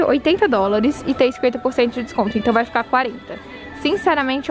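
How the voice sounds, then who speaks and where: A woman casually vlogging her walk through a large department store, reading out prices and talking straight to her viewers. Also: sits high. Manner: chatty, reads out prices, addresses viewers. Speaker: shopping vlogger.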